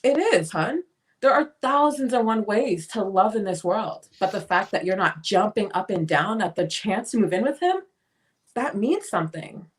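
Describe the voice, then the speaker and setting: A woman's voice: medium pitch, animated, moderate pace, natural voice. A woman playing a blunt, free-spirited, opinionated sister in a dramatic play reading. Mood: insistent, preachy. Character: blunt, free-spirited sister pushing self-liberation on her sibling.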